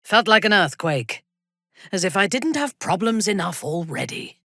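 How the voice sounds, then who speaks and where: A woman playing a proud, ruthless dark elf commander in a video game, speaking formally and haughtily in a breathy, husky voice. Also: mid-range voice, big pitch swings, dry recording, clean studio sound. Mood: grave. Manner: measured, haughty, formal diction. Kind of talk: video game character dialogue.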